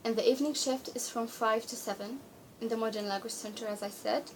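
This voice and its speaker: A woman's voice: fairly high pitch, steady tone, fairly quick, a little hiss or hum. A sixteen-year-old female author from Jordan, native in Arabic and Spanish, giving simple, hesitant answers in a casual interview. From born in Spain, raised in Jordan from age seven. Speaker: young author, interview guest. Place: radio studio.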